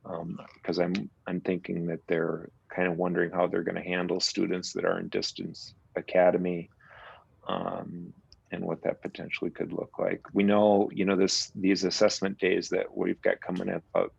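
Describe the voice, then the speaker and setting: A man giving a school principal's report at a parents' meeting, relaxed and rambling with fillers. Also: low-pitched, normal speed, gravelly, slightly rough, dry recording, dull audio. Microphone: video call.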